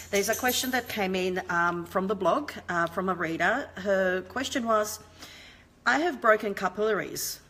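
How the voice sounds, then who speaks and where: A woman's voice: on the low side, sharp tone. A woman in her forties, a beauty therapist, chatting through a live skincare lesson from home on her webcam, explaining with many ums and restarts. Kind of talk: live-stream skincare talk. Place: home room with lamp and shelf. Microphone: webcam at desk.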